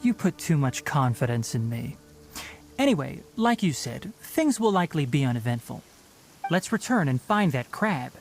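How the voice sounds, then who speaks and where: A man voicing a calm, stern young male forest ranger in a game, speaking in a measured, matter-of-fact, slightly dry way. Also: a little high, creaky. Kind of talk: game dialogue voice acting. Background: soft game music.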